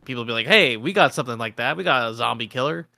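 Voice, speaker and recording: A man's voice: medium pitch, expressive, fast talker, gravelly, bright, forceful tone. A man co-hosting a gaming podcast, chatting casually with others. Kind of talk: casual group chat about games and films.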